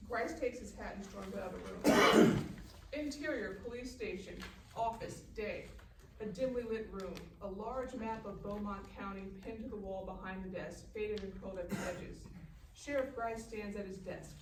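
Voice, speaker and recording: A woman's voice: mid-range voice, moderate pace. Woman narrating a script, echoey and noisy. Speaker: stage directions narrator. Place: live festival table read in a room. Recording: echoey, distant room mic with clear noise.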